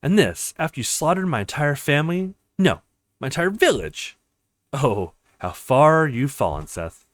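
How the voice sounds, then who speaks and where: A man's voice: fairly high pitch, very animated, moderate pace, dry recording, clean studio sound. A man voicing a bitter, mocking avenger in a visual novel, speaking dramatically. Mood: bitter gloating.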